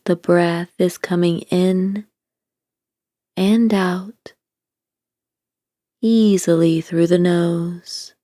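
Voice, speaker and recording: Slow, low-voiced woman guiding meditation. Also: no echo, studio quality. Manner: calm, soothing, deliberate. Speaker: guided meditation narrator. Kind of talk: scripted guided meditation, slow gentle instruction.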